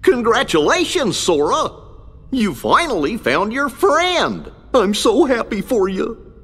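A man's voice: extremely high pitch, big pitch swings, takes it slowly, fairly bright tone, slightly rough, exaggerated voice. A man voicing a kindly, cheerful cartoon dog character with a folksy, bumbling, good-natured manner in a game cutscene. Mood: delighted. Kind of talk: animated game cutscene acting. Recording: long reverb, music behind.

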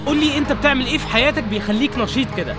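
A man's voice: very high voice, over music. A young man with an Egyptian Arabic accent, hosting a casual vlog outdoors at night and chatting with a guest. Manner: brisk and upbeat, addressing viewers directly. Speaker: vlogger and interviewer. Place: outdoors at night by a city waterfront.

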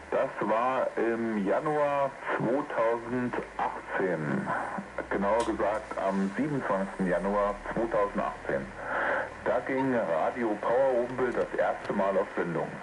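A man from Germany hosting a hobby radio show, making announcements, heard over shortwave radio. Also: lowish voice. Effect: shortwave radio broadcast. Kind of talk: radio show sign-off and thank-you announcements. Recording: noisy shortwave radio reception with static. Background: radio static and hiss.

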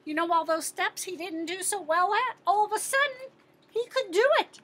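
A middle-aged American woman reads a story to young children in a high, bright voice. She takes her time, stressing words expressively and posing questions as a teacher would. Clear ambient noise sits behind her. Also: moderate pace. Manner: expressive, emphatic, asks and answers own questions. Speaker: teacher-librarian reading to children. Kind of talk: animated picture-book read-aloud with questions to young listeners.

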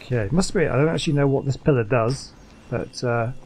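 A man giving casual gameplay commentary, thinking out loud and questioning himself as he goes. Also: medium pitch, a little fast, slight background noise. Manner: muses aloud, questioning himself. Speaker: gaming video host. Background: faint game music.

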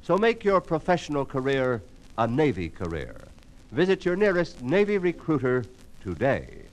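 A man reading a scripted 1950s radio recruiting announcement in a formal, measured announcer style. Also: medium pitch, huge pitch swings. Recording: noisy vintage 1950s radio transcription disc.

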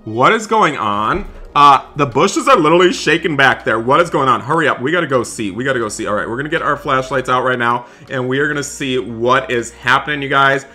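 A young man narrates roleplay gameplay in an emphatic, dramatic way. His voice is very bright and forceful at a middle pitch, and music plays behind him. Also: brisk, few pauses. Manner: dramatic, emphatic, repetitive exclaiming. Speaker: gaming video creator. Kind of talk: roleplay gameplay commentary for viewers. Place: indoors, voice-over on game footage.

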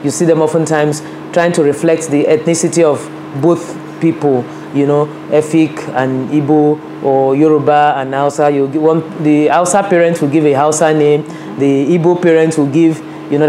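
A woman's voice: deep voice, noisy background. A Nigerian woman in her fifties, recorded in her office by a camera across the desk, earnestly telling her life story with many "you know" asides. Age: 50s. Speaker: interviewee, women's rights advocate. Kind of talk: reflective personal storytelling. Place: office with a large window, seated at a desk.

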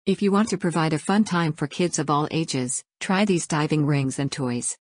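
A woman's voice: sits low, normal speed, no room sound, studio quality. A woman reading an upbeat, scripted promotional voice-over as a website host. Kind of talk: scripted product narration.

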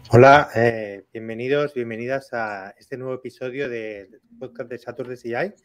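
Middle-aged podcast host. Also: male voice, mid-range voice, fairly even intonation, normal speed, smooth. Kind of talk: conversational interviewing. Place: indoors on a video call. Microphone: video call.

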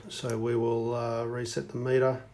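A man's voice: mid-range voice, completely flat delivery, moderate pace, darkish tone, slight background noise. A man giving a casual hands-on demonstration as a hobbyist reviewer. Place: indoors at a wooden tabletop.

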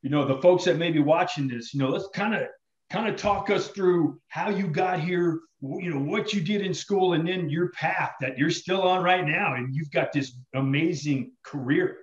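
A man's voice: fairly high pitch, normal speed. A man in his forties hosting a podcast over a video call in a casual, slangy way. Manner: informal, slangy. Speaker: podcast host. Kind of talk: casual podcast introduction and questions. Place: indoor room with backdrop. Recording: echoey, lo-fi room sound.

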